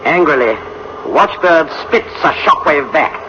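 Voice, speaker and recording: An actor playing an urgent, dramatic narrator in a 1960s science fiction radio drama. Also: male voice, a little high, expressive, a little slow, sharp tone. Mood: urgent, intense. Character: urgent, forceful male narrator of machine warfare. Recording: muffled old radio, faint noise.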